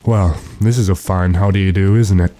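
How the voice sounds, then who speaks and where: A man playing a stern, determined gentleman in a radio drama, speaking in a commanding, impatient way. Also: low voice, fairly even intonation, normal speed, no room sound, lightly acted. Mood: irritated, determined. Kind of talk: classic radio drama acting.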